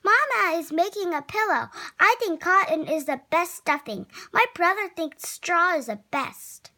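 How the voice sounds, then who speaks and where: A reader as insistent, high-pitched little girl. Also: lively intonation, measured pace, bright, forceful tone, exaggerated voice. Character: arguing young sister in a storybook.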